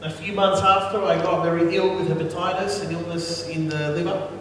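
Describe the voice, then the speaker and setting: A man's voice: high voice, fairly even intonation, normal speed, fairly bright tone. A man interpreting a sermon in short consecutive phrases, restarting and repeating words as he translates. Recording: echoey hall, distant mic, noisy.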